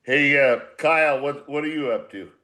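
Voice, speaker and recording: A man's voice: medium pitch, moderate pace, pushed and bright. An older man in casual conversation, leading a group chat in a blunt, salty, rambling manner. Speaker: former baseball manager leading a reunion podcast.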